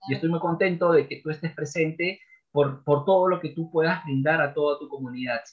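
A man's voice: a little high, brisk, phone-quality sound. Emphatic sales trainer man on a video call. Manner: emphatic, repeats key phrases like a trainer. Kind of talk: podcast interview by video call. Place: home office with bookshelves.

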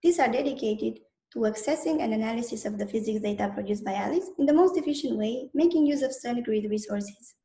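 A woman software engineer from Romania briskly introducing her work. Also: fairly high pitch, roomy sound. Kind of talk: read self-introduction.

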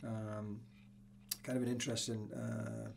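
A middle-aged man with an English accent, reminiscing casually as an interview guest, often pausing with you-knows. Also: on the low side, little pitch movement, gravelly, darkish tone, slight background noise. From Newcastle, England. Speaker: soccer coach, interview guest. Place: small indoor room with acoustic panels. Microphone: podcast boom microphone.

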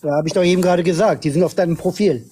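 A man with a high-pitched voice argues combatively in a heated live-stream debate. He talks over the other callers and sounds scornful. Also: racing, a crowd in the background. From Germany. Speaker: guest debater.

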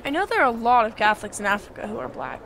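A woman's voice: fairly high pitch, lively intonation, very fast, gravelly, fairly bright tone, slight background noise. An actress playing a friendly, inquisitive young girl in an audio drama. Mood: curious. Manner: friendly, curious.

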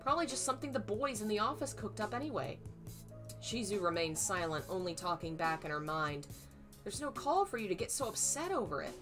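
A woman in her thirties reads a novel aloud from a small room at home, her voice a little hoarse. She voices the dialogue with feeling and lifts her pitch for the frightened lines. Faint music plays quietly behind her. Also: very animated, a little fast. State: slightly hoarse. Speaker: streamer reading a novel aloud. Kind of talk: live book reading, then casual sign-off.

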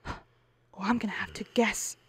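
A woman's voice: medium pitch, steady tone, a little slow, vocal fry, husky, dry recording, in a whisper, exaggerated voice. A woman playing an anxious, bickering middle-aged wife and exasperated mother in a radio comedy play, pleading and arguing melodramatically. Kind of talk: stage comedy acting for radio.

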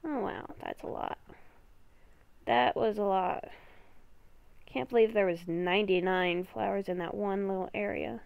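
A woman giving casual gameplay commentary, talking to herself in short, halting phrases. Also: medium pitch, steady tone, creaky, clear, resonant tone, no room sound, dull audio. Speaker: gamer recording a roleplay series.

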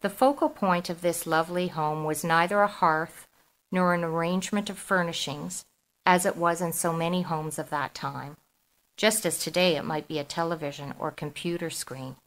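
Low-voiced Canadian woman author. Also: fairly even intonation, moderate pace, fairly bright tone, low-quality recording. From Toronto, Canada. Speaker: author, podcast guest. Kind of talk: reading prose and talking about her book.

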